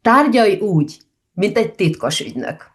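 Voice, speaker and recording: A woman's voice: mid-range voice, sing-song, moderate pace. Woman interviewing in English. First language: Hungarian. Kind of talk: video interview.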